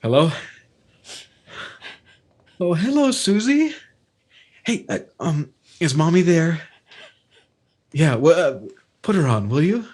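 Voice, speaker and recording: A man playing a hesitant, gentle working man who has witnessed a crime, halting and low-key, in a 1950s-style radio drama. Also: very animated, slightly rough, full character voice. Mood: halting, reassuring.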